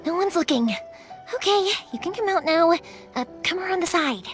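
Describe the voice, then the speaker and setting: A woman's voice: very high voice, moderate pace, soft background music. A woman voicing a caring young woman who makes fireworks in a video game, chatty and reassuring. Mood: hushed urgency. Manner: animated, reassuring, casually chatty. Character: cheerful, caring young woman fireworks maker, loyal to customers. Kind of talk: English video game voice acting.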